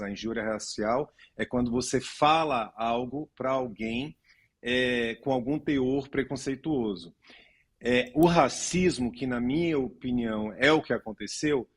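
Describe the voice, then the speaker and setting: A man from Pernambuco, a lawyer on a studio panel, warm and explanatory in a measured way. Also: mid-range voice. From Pernambuco, Brazil. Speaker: lawyer, commentator.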